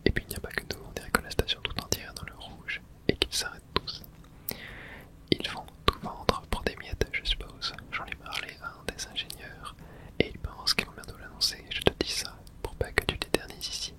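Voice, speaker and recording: Hushed whispering ASMR gamer. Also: extremely high pitch, steady tone, fairly quick. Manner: whispers throughout, reads game texts aloud. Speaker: host playing and narrating a game. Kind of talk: whispered ASMR video game let's play.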